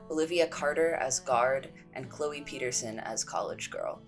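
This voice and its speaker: A woman reading scripted end credits as an announcer. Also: a bass voice.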